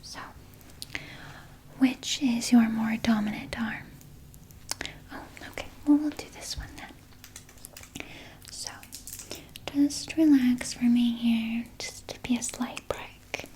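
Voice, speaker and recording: A woman performs a sinister vampire nurse in a whispered ASMR roleplay. Her soft, breathy voice sits fairly high and moves very slowly, coaxing the listener with false comfort. A faint hiss lies behind the whisper. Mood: soothing. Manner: slow, coaxing, falsely reassuring, close personal address. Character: sinister, soothing female vampire nurse tending her captive patient.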